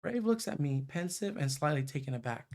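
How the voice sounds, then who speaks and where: A man narrates a visual novel on a stream in an expressive reading style. His voice sits a little high for a man and carries noticeable creak. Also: a little fast.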